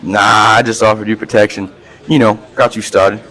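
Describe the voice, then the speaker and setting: A man's voice: fairly low pitch, level tone, normal speed, bright, forceful tone, rough recording. A man acting a reverend with a hoodlum past in an amateur radio play, talking in street slang and folksy storytelling. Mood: boastful, chummy.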